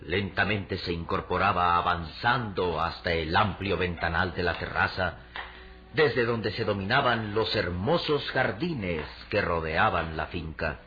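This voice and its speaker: Deep bright-voiced guy doing dramatic radio drama narration, solemn, slightly lo-fi. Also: unhurried, slight background noise. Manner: solemn, theatrical. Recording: dull radio recording with music underneath.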